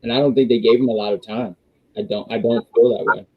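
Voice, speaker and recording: Hoarse man hosting a wrestling review. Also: mid-range voice, fairly even intonation, fast talker, phone-quality sound. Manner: rambling, restarts, reads viewer chats. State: losing his voice. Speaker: wrestling review show host. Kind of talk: casual live-stream review chat.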